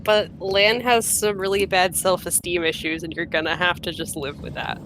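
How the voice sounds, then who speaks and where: Irreverent low-voiced woman commentating a game. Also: fairly even intonation, normal speed, noisy background. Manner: irreverent, sweary. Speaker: host of a game playthrough. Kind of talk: casual, joking game commentary with lines read aloud. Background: game music.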